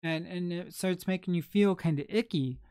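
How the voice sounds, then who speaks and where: A young man hosting a late-night livestream, chatting in a soft, calm, unhurried way. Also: high voice, smooth, no room sound, clean studio sound. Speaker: livestream host.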